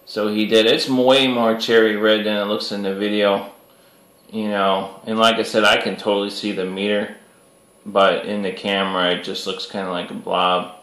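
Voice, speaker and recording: A man's voice: on the low side, little pitch movement, normal speed, a bit bright. A man chatting casually on CB radio in an informal, slangy way. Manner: informal, peppers talk with "you know" and CB slang. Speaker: CB radio hobbyist recording the video. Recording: echoey room.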